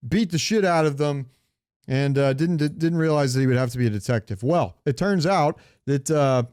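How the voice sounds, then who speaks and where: A man's voice: a little high, moderate pace, a little mellow, clean tone, no echo, clean studio sound. A man co-hosting a podcast, chatting casually with informal, opinionated, sweary talk. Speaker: podcast co-host. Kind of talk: casual chat about comic book movies.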